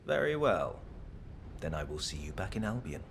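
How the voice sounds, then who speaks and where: An actor playing a grave, calculating male schemer in a video game, speaking in a solemn, measured, deliberate way. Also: low-pitched, sing-song, creaky, slight background noise, full character voice. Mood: solemn, intense. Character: grave, calculating male schemer staking his life on revenge. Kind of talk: dramatic video game dialogue.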